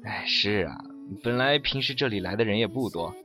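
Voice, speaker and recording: Casting: actor as genial, familiar CD shop owner for radio drama, swooping intonation, clear music behind. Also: male voice, lowish voice, expressive, fairly quick, natural voice. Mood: easygoing. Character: genial, laid-back male CD shop keeper, old friend. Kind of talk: audio drama acting.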